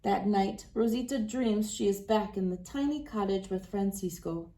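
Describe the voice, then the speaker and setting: Lady doing kids book read aloud, medium pitch, expressive, takes her time w phrases. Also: normal speed, rough recording. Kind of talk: storybook narration read aloud.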